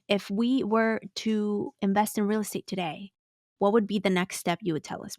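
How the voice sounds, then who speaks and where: A woman's voice: medium pitch, no echo, studio quality. A woman hosting an interview podcast, speaking English with some Spanish phrases mixed in. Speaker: podcast host.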